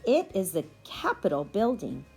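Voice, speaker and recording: A woman reading a storybook as a patient, knowledgeable aunt who explains things in a measured way. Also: mid-range voice, huge pitch swings, vocal fry, mellow, husky, faint music behind, heavily acted. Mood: patient, explaining. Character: knowledgeable, patient adult aunt guiding her nieces.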